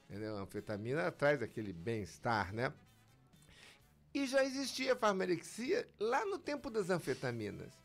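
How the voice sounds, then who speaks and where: Doctor guest, lively and emphatic, gravelly voice, soft music underneath. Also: male voice, on the high side, normal speed. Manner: lively, emphatic, acts out patients' lines. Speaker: physician guest. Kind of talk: explanatory interview talk.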